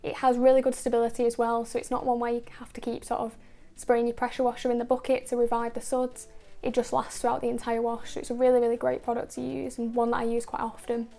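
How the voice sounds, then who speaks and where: A woman narrating a car detailing video in a steady, explanatory voice-over, going through each step and product methodically. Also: fairly high pitch, fast talker, few pauses. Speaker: car detailing channel host.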